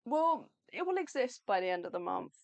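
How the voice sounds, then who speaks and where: A woman co-hosting an art livestream in a chatty, upbeat, rambling way, her voice going slightly hoarse. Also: on the high side, sing-song, brisk. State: losing her voice. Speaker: livestream co-host, artist. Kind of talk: casual live chat.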